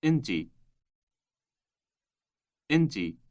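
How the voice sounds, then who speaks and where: A man with a Levantine Arabic accent speaking model phrases for a language tape, enunciating each word carefully and deliberately. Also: medium pitch, sing-song, a little mellow. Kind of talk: slow model phrases for repetition.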